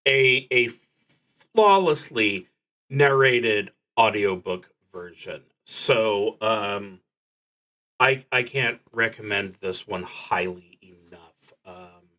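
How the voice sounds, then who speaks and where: A man streaming solo tabletop roleplaying, explaining the game casually in an earnest but halting way with long pauses. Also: lowish voice, animated, pushed and bright.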